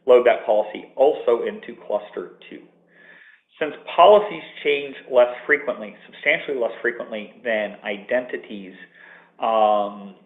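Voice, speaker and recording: A man presenting a technical webinar from an office, explaining at length with fillers and restarts. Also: lowish voice. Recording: tinny phone-line audio with room echo.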